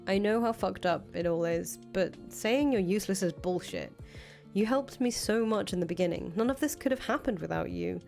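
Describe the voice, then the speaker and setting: A woman's voice: mid-range voice, moderate pace, gravelly, a little mellow, natural voice. A woman voicing a brash, blunt, foul-mouthed revolutionary leader in a visual novel, talking casually. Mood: pleading, frank. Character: brash, foul-mouthed revolutionary leader, volatile but attached to her friend. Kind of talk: visual novel dialogue reading. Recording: music behind the voice. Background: music.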